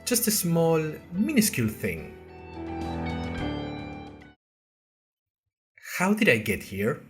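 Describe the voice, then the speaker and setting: A man's voice: fairly high pitch, huge pitch swings, normal speed, soft background music. A man playing a bewildered, earnest young college newcomer, narrating an audio diary podcast in a wry, hesitant, conversational way straight to listeners. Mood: tired, hopeful. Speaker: narrator and podcast host character. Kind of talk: first-person audio fiction narration.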